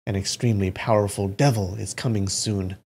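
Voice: low-pitched, fairly even intonation, a little fast, darkish tone, breathy edge. A narrator voicing a cautious martial-arts fighter, earnest and faintly sarcastic. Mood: earnest warning.

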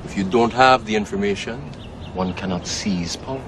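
A man's voice: fairly low pitch, normal speed, a bit bright, slight background noise. A man speaking lines of dialogue in a film.

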